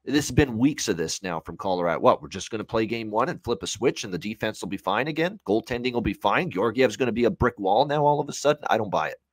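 A man's voice: fairly low pitch, lively intonation, quick pace, hardly any pauses, no room sound. A man in his thirties giving opinionated, emphatic sports betting commentary as an analyst, repeating phrases to drive points home. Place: indoor room at home, on a video call.

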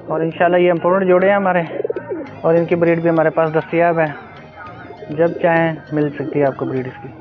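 A middle-aged man with a Pakistani accent, a farmer informally showing his stock with repeated stock phrases. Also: a little high. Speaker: pigeon farmer. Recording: phone-like thin audio, faint music. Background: faint music, cooing pigeons.

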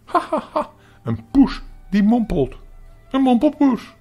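A daft storybook dog with a very high, swooping voice. He is giddy and laughs through his words, tripping over them with silly glee. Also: big pitch swings, normal speed, creaky, a little mellow, slightly rough, faint music behind, exaggerated voice. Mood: helpless hilarity. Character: silly male dog, giggling helplessly at wordplay.

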